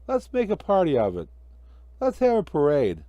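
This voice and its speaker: A man's voice: on the high side, wildly swooping pitch, normal speed, warm tone, no room sound, voice pushed up. A man voicing a naive, cheerful talking snowman with an eager, innocent manner in a children's story. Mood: earnest. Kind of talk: amateur children's storybook reading.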